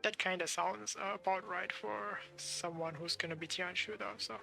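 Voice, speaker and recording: A woman's voice: on the low side, steady tone, moderate pace, vocal fry, bright, forceful tone. A woman giving casual live gameplay commentary as a streamer. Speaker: game streamer. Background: game music.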